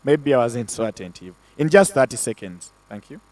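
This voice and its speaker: A man moderating an online panel, loud and talkative, addressing the audience directly. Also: mid-range voice, lively intonation, normal speed, distant mic, rough recording. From Africa.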